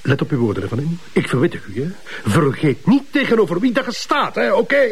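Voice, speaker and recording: A pompous Flemish police chief in a crime drama, evasive and easily irritated. His husky, fairly high voice swoops widely as he bosses people around. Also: huge pitch swings, fast, full character voice. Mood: irritated, defensive. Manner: bossy, defensive, interjecting tags. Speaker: actor in a police drama series.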